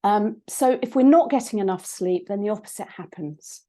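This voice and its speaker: A woman with a British accent, a psychologist and coach giving an online talk, croaky from a cold and hesitant, with many ums and restarts. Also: mid-range voice, normal speed, mellow. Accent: British English.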